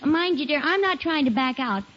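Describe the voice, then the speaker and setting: A sensible suburban wife in a vintage radio sitcom. Her voice is high and lilts freely up and down, gently wry. The old recording sounds dull. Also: expressive, brisk. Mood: gently teasing. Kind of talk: 1940s radio sitcom acting.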